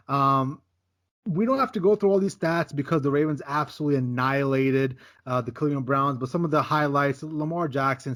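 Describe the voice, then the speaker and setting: A man co-hosting a sports podcast, giving emphatic, casual takes on football games and repeating "listen, man" as he goes. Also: fairly high pitch. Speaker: podcast co-host. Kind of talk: casual sports betting chat.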